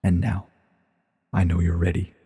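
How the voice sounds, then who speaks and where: A man acts out an ancient, regal pony queen in a storybook reading. The voice is low and husky, measured and solemn, with a guarded calm. A long echo hangs on it. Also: full character voice. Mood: solemn, grave. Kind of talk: dramatic reading of a short story.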